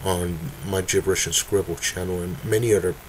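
Young male creator, low voice, hesitant and full of restarts, desk webcam in a dark room. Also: fairly even intonation, a little hiss or hum, rough recording. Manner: halting, many fillers and restarts. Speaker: video creator. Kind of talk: casual webcam announcement. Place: dim room indoors.

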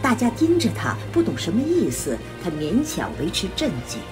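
A woman's voice: mid-range voice, huge pitch swings, moderate pace. A woman delivering scripted narration as the narrator of a radio drama. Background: music.

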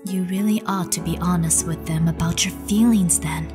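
A woman voicing a gentle, caring goat-monster mother in a cartoon comic dub, choosing her words carefully. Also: on the low side, fairly even intonation, normal speed, music in the background, lightly acted. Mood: concerned, gentle. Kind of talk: comic dub character acting.

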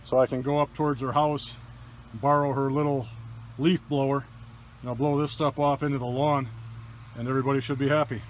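A man's voice: mid-range voice, little pitch movement, brisk, slight background noise, poor audio. An older man from Michigan giving plain, practical how-to narration while walking a rural field with a phone camera. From Michigan, USA. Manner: plain, practical, matter-of-fact explaining. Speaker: DIY hunting vlogger. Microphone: phone camera held while walking. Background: crickets and insects.

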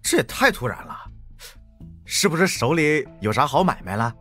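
A man's voice: fairly high pitch, sing-song, moderate pace, sharp tone, soft background music, full character voice. Man acting a swooping-voiced, curious young coworker. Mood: curious, friendly. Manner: casual, inquisitive. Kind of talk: Mandarin audiobook drama acting.

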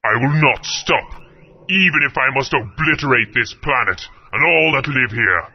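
An actor playing a menacing male villain sorcerer who speaks gravely and threateningly. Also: medium pitch, lively intonation, normal speed. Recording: lo-fi game audio.

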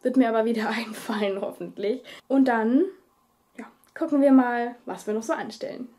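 A woman's voice: fairly high pitch, normal speed, over music. A woman, chatting casually for a family vlog at home. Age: 20s. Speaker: family vlogger and mother. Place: children's bedroom at home.

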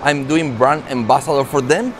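Guy in his 40s doing casual tech vlog review, into it, mid pitch, loud-ish expo hall noise behind him. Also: moderate pace. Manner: enthusiastic, conversational. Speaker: tech vlogger and presenter. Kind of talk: casual product review to camera. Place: large indoor trade show hall. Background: expo hall ambience.

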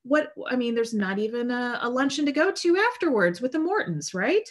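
A woman's voice: on the high side, animated, moderate pace. Conversational woman priest on a video call. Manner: conversational, earnest, with frequent fillers and restarts. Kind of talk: sermon. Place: home room with bookshelves. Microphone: video call webcam.

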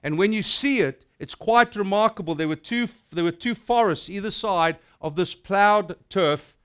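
A middle-aged South African man preaching in a small indoor hall, his voice sitting high and carrying with a smooth, resonant ring. He speaks in a lively, declamatory way, building his sermon toward grand moments. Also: moderate pace. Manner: animated and declamatory, gesturing widely. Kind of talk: sermon with dramatic recitation.

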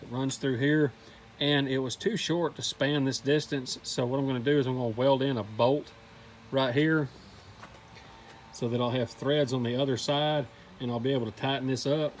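A man's voice: medium pitch, steady tone, normal speed, slight background noise. Plain-spoken man explaining a welding build. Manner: plain, practical step-by-step explaining with fillers. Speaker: DIY welding channel host. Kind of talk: narrating a workshop build. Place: outdoors in a backyard workshop.

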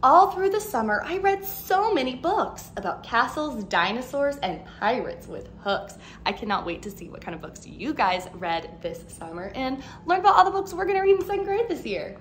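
A woman in her thirties, a teacher, reading a picture book aloud to young children in an expressive, animated way. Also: high voice, normal speed, hardly any pauses, a little hiss or hum. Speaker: second grade teacher. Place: living room at home, indoors.

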